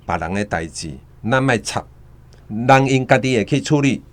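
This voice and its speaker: A man's voice: medium pitch, lively intonation, moderate pace, close to an everyday voice. An actor playing an anxious, self-protective doctor and father in a radio drama, exclaiming and arguing in a Taiwanese Hokkien accent. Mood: impatient, dismissive.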